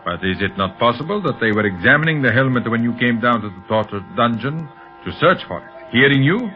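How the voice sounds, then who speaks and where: An actor playing a sinister criminal mastermind in a 1930s radio serial, speaking in a measured, imperious, calculating way. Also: male voice, medium pitch, expressive, natural voice. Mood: cold, suspicious. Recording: muffled old 1930s radio recording, faint music.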